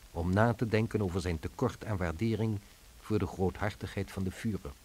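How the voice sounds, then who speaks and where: A man's voice: fairly low pitch, darkish tone, slightly rough. A man narrating a radio drama in a scripted, dry and ironic way.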